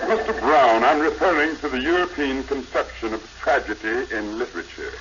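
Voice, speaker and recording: A dull old radio recording with faint noise. A male actor as a pompous literary gentleman, affected and genteel, speaking in a mid-pitched voice with scholarly airs. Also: brisk, close to an everyday voice. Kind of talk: 1950s radio sitcom acting.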